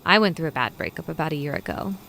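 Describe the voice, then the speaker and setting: A woman's voice: sits low, big pitch swings, fast talker, creaky, pushed and bright, slight background noise, heavily acted. A woman voicing a guarded, wry young woman in her twenties.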